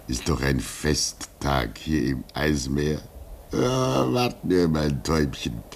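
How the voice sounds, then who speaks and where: An actor playing a fatherly older weather-station man in a 1950s German radio drama, soothing and wistful, musing in drawn-out phrases. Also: very deep voice, lively intonation, slight background noise, heavily acted. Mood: wistful, fond.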